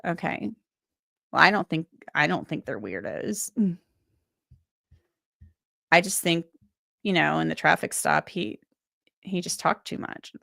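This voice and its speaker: A woman with a fairly low voice, a little strained from a cold, talks through viewer comments in a loose, chatty way, often restarting her sentences. The sound is close and dry. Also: big pitch swings, unhurried, vocal fry, long pauses, pushed and bright, dry recording. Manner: chatty, loose and thinking aloud, with many restarts and asides. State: recovering from a cold, voice a bit strained. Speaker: legal commentator and livestream host. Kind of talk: casual livestream chat reading viewer comments.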